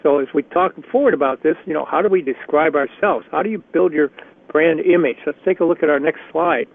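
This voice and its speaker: A man with a slightly raspy voice leading a career workshop on a conference call, chatty and encouraging with frequent restarts. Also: a little high, fairly quick, no room sound. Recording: tinny phone line.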